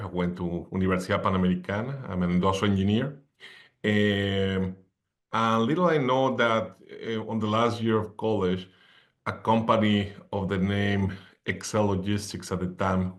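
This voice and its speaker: Middle-aged man, low voice. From Mexico. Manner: self-deprecating, wry humor. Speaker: podcast guest. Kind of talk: conversational interview storytelling.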